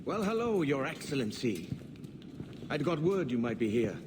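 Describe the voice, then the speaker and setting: A brash pirate captain from a video game, acted by a man. He speaks at an average male pitch with an informal, sly swagger. Clear ambient noise sits behind the voice. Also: sing-song, moderate pace, close to an everyday voice. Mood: menacing, sly. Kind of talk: video game cutscene acting.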